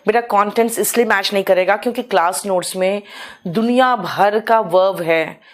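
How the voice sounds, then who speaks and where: Hoarse woman teacher, live online class, motherly, keeps clearing her throat. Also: medium pitch, normal speed. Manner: motherly, calls students "beta", coaxing them to hurry. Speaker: English teacher.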